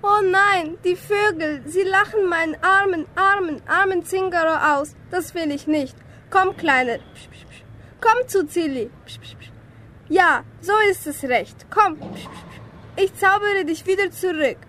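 Schoolgirl playing very high-voiced, grumpy old witch. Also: a little slow, pushed and bright, slight background noise, heavily acted. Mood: fretting, coaxing. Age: teenager. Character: grumpy old witch with a soft spot for her cat. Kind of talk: school radio play.

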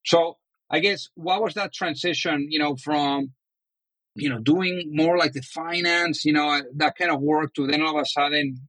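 Podcast host guy, kinda high voice, dry close sound, lots of "you know". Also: steady tone, normal speed, sharp tone, no room sound, poor audio. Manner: chatty, many fillers like "you know". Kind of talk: casual interview.

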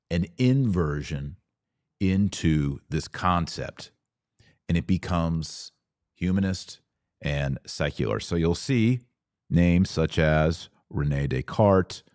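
A man hosting a podcast, giving a religious lecture in an emphatic, didactic manner with dramatic pauses. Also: low voice, darkish tone, dry recording, studio quality. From Texas. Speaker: podcast host.

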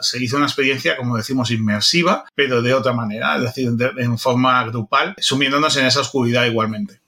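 Bright-voiced man with a Spanish accent from Spain. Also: medium pitch. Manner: halting, repeats words as they search for phrasing. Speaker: podcast guest, audio drama writer-director. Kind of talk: casual talk recounting an event.

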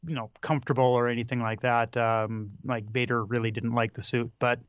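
A man chatting casually as a podcast co-host, halting and searching for words, with dry tongue-in-cheek humor. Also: mid-range voice, flat delivery, dry recording, highs cut off. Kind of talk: casual film discussion.

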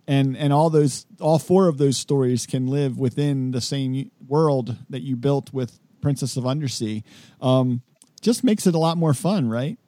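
Male host, casual interview chat, mellow timbre, dry studio-like sound, a little self-deprecating. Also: medium pitch, moderate pace, no echo. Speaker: podcast host.

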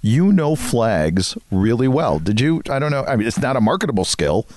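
A man's voice: medium pitch, big pitch swings, normal speed, darkish tone, a little hiss or hum. A man in his fifties or older, co-hosting a podcast with casual comic banter. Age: 50s or older. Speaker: podcast co-host.

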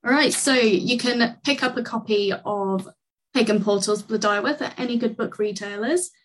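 A woman's voice: a little high, normal speed, a bit bright, slight background noise, poor audio. A woman leading a podcast book discussion, chatty and friendly. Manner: friendly, chatty, laughs easily. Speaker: podcast interviewer. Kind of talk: casual podcast chat.

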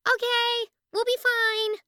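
A woman's voice: extremely high pitch, little pitch movement, slow, pushed and bright, dry recording, clean studio sound, exaggerated voice. A woman playing a bold, bossy, scheming young girl in a scripted family audio drama. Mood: feigned innocence. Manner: bossy, impatient.

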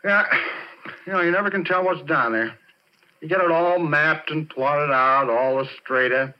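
A man's voice: a little high, moderate pace, lightly acted. An actor in a 1940s radio drama playing a skinny, jittery oil-field man, with a hesitant, colloquial way of talking. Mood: tired, casual. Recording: muffled 1940s radio recording.

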